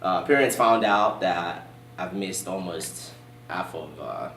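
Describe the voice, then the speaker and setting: A middle-aged man with a mixed Nigerian and British accent, telling his story as an interview guest in a relaxed, easygoing way, with many fillers. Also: sits low, takes it slowly, slight background noise. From born in Boston, raised in Nigeria. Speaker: gym owner, guest. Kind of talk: casual interview. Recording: roomy distant sound in a gym.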